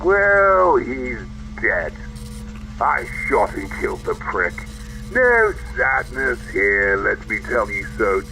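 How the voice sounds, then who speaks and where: A man's voice: mid-range voice, creaky, pushed and bright, slight background noise, full character voice, low-quality recording. A man playing a smug, sardonic villain in a sci-fi audio drama, drawling and taunting. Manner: drawling, taunting, theatrically casual.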